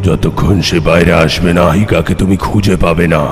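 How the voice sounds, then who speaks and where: A man's voice: sits low, steady tone, brisk, close to an everyday voice. A man playing a grave, all-knowing underworld guardian in a dramatic cartoon dub, speaking in solemn, foreboding instruction. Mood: solemn, warning. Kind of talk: dramatic dubbed cartoon dialogue. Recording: long hall reverb, faint noise.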